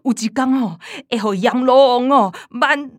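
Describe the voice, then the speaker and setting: A woman's voice: a little high, normal speed, dry recording, studio quality, lightly acted. A woman playing a sassy, righteous younger daughter in a radio drama, complaining and mock-scolding theatrically with a Taiwanese accent.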